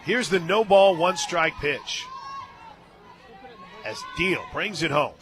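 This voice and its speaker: A man doing live play-by-play softball commentary for radio from a stadium booth. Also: high-pitched, expressive, takes it slowly. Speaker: play-by-play announcer. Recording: crowd noise behind. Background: ballpark crowd and music.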